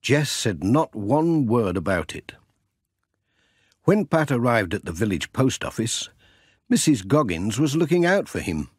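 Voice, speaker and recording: A man reading a children's storybook as an expressive audiobook narrator. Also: mid-range voice, a little slow, slightly rough, no echo, studio quality.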